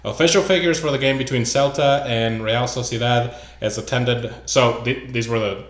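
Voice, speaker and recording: A man's voice: medium pitch, steady tone, normal speed, roomy sound. A man hosting a casual sports podcast, reading news items aloud with loose asides. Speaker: podcast host.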